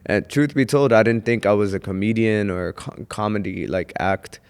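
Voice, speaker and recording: A young man with a low, deep voice tells his story in a relaxed podcast chat, pausing now and then to think. His tone is smooth and resonant, and he speaks in a reflective, unhurried way. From Houston, Texas. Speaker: guest comedian. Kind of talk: casual podcast interview.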